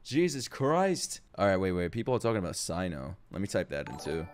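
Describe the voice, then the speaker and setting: A man doing casual live-stream reaction commentary to game trailers, excitable, slangy and profane. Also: sits low, brisk, a little hiss or hum. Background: game trailer music.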